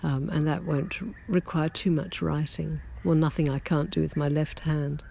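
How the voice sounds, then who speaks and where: A writer keeping a daily audio diary, talking to listeners in a gentle, halting way with many pauses and self-corrections, a little hoarse after losing their voice that morning. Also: mid-range voice, level tone, slight background noise. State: recovering from a lost voice, slightly hoarse. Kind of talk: slow reflective personal chat to listeners.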